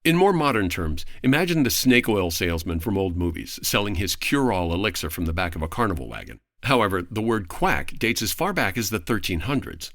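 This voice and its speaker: A man speaking as a podcast guest, giving a prepared, articulate explanation in formal, measured phrasing. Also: deep voice, big pitch swings, a bit bright, no echo, clean studio sound.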